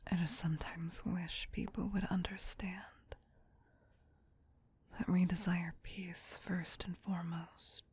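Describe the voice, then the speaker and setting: Casting: female performer as vampire queen, tender yet possessive, very breathy whisper, low-leaning pitch, soothing ASMR roleplay delivery. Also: flat delivery, darkish tone, exaggerated voice. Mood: wistful, regretful. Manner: slow, soothing, intimate.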